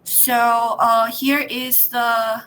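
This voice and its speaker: Taiwanese woman, thirties, workshop leader on a video call; high, bright tone, halting delivery with lots of fillers. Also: flat delivery. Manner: halting, with many fillers and restarts. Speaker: teacher trainer leading a workshop. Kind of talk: online workshop presentation.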